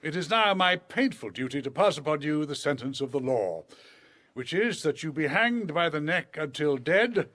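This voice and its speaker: A man plays a stern old-world judge in a radio drama. His voice sits fairly high and rises and falls dramatically, formal, grave and declamatory. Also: lively intonation, moderate pace, fairly bright tone. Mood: solemn, condemning. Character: solemn, stern period judge passing sentence. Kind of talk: formal period audio drama acting.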